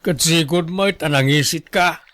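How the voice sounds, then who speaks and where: A man's voice: a little high, unhurried, fairly bright tone, no echo, clean studio sound. A man playing an exasperated, grumbling village husband and humble watchman in a radio drama. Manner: grumbling, put-upon.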